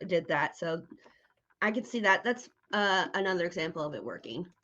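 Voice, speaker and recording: A woman's voice: fairly low pitch, expressive, moderate pace, sharp tone. A woman interviewing in a casual, chatty way, on slightly dull lo-fi audio. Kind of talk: casual chat.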